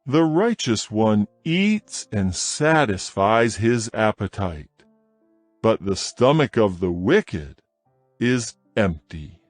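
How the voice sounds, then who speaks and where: A man reading scripture in a slow, measured, expressive way. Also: on the low side, no room sound, soft background music. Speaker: scripture reader.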